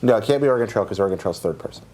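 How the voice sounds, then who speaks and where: A man chatting casually as a podcast panelist, reasoning out loud through guesses in a group guessing game. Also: fairly low pitch, expressive, racing. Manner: thinking aloud, deliberating.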